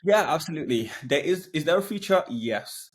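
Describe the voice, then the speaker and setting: A man's voice: fairly high pitch, animated, a little fast. Blunt, opinionated man talking sales. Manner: blunt, opinionated, laughs between points. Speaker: podcast guest, sales leader. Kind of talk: casual business podcast chat.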